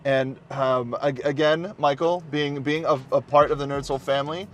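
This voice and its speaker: Male, somewhat high voice, casual guest reviewer, emphatic repetition, faint background noise. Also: fairly even intonation, normal speed, fairly bright tone. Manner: emphatic, repeats phrases. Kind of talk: casual, unscripted movie discussion.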